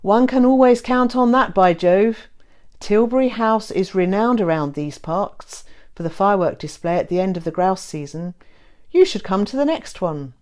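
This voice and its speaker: A woman's voice: mid-range voice, animated, moderate pace, darkish tone, close to an everyday voice. A woman voicing a hearty, elderly English colonel in an audiobook, bluff and jovial with old-fashioned exclamations. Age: older. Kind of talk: audiobook character reading.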